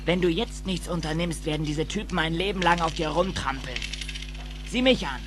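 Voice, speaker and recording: A man's voice: a little high, normal speed, background noise, lightly acted. A man playing a proud, ambitious young café worker in dubbed film dialogue, speaking emphatically. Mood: urging.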